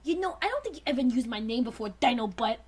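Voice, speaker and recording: Woman doing a cocky, low-pitched little robot guy. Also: animated, fast, darkish tone, a little hiss or hum, natural voice. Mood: taunting. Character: cocky, teasing small male robot in fan fiction.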